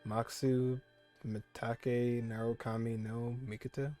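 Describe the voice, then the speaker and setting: A man's voice: medium pitch, level tone, slow pace, mellow, faint music behind. A young man giving casual, rambling reaction commentary as a gaming streamer, repeating phrases for emphasis.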